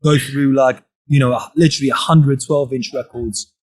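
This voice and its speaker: A man's voice: medium pitch, steady tone, normal speed. Greek-born man, rambling casual talk. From Greece. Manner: rambling, frequent "you know what I mean". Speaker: guest, DJ and label owner. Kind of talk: casual conversational interview.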